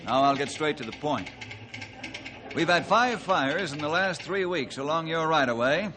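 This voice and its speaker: A man playing a steady, authoritative forest ranger in a 1950s radio adventure, plain-spoken and earnest as he explains things. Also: a little high, moderate pace, lightly acted. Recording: noisy old 1950s radio recording.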